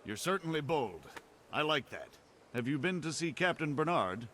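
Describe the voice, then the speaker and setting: An actor playing a measured, authoritative town bailiff in a medieval video game. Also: male voice, fairly high pitch, big pitch swings, fairly bright tone, slight background noise.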